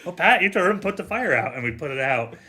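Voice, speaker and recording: A man telling stories in a casual, animated chat, quoting banter and swearing a bit as a firefighter would. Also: on the high side, fast. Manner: profane, quotes dialogue in banter. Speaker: firefighter and podcast panelist.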